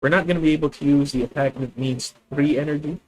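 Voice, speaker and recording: A young man with a Filipino accent streaming a card game, thinking out loud about his moves, correcting himself and talking to his viewers. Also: on the high side, fast, rough recording. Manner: thinking aloud, self-correcting, addresses viewers. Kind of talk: live gameplay commentary.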